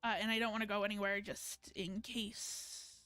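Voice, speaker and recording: A woman's voice: mid-range voice, little pitch movement, moderate pace, sharp tone, soft background music. A woman game streamer giving casual live commentary, rambling as she thinks aloud.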